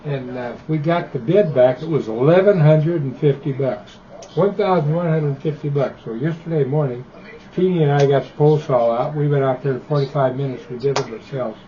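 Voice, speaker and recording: An older man in easygoing chat on a ham radio call, talking in a relaxed, conversational way. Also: medium pitch, fairly quick. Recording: dull, muffled radio audio.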